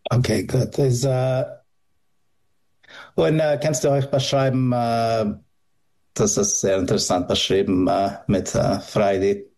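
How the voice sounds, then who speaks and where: A man interviewing, asking questions slowly while groping for words. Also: medium pitch, fairly even intonation, a little mellow. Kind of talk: conversational interview.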